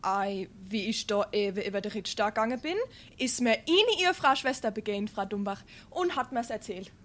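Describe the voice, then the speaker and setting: A woman's voice: medium pitch, fairly quick, lightly acted. An actress playing a curious, gossiping neighbour woman in a dialect stage comedy, with a Darmstadt Hessian accent. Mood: curious, alarmed. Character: Evche, gossiping female neighbour, breathlessly curious.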